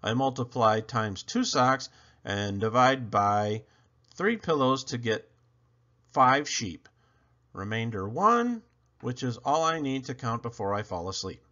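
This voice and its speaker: A man reading a children's picture book aloud in an animated storyteller style, with dramatic, emphatic phrasing and playful swoops. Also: mid-range voice, unhurried, lo-fi sound.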